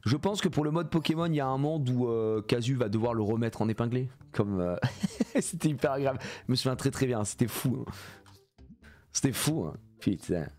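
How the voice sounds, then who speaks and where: A man's voice: mid-range voice, fairly quick. Sniffly male streamer, jokey and swearing. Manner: animated, jokey, swears a lot, reads chat aloud. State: congested with a cold. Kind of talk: live gameplay stream chatting with viewers.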